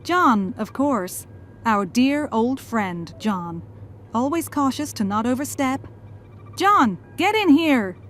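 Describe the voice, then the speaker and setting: A woman's voice: high voice, lively intonation, slow pace, soft background music. A woman playing a tired, wry and tender mother in an audio drama. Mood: fond.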